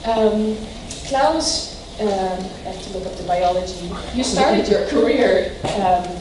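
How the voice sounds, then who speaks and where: A woman hosting an event, introducing the speaker in a halting way with many fillers. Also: medium pitch, animated, noisy background. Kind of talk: speech introduction. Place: large hall. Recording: echoey hall from far off.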